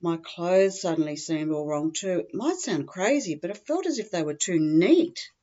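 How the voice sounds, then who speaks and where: A woman's voice: fairly low pitch, animated, moderate pace, dark tone, lo-fi sound. A woman with an Australian accent reading a scripted humorous first-person story in a dry, matter-of-fact comic way. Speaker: humorous writer reading their own story.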